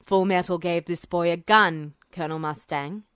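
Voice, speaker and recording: Lady doing a stern older general guy for a story, lowered voice, cold and bossy, very dry sound. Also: moderate pace, clean tone, no room sound, natural voice. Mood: coldly commanding. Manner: clipped, imperious, coldly calm.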